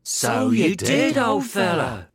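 A narrator voicing a hearty, excitable male animal workmate in a storybook, drawing out rustic exclamations. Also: medium pitch, slow pace, husky, dry recording, clean studio sound, soft background music, pitched above the performer's own voice, heavily acted. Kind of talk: storybook character voice.

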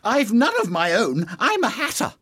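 A man's voice: very high voice, huge pitch swings, normal speed, pushed and bright, breathy edge, dry recording, studio quality, voice pushed up, full character voice. A man voicing a nervous, muddled hatter in a storybook, pleading in a hesitant, trembling way. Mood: nervous, defensive.

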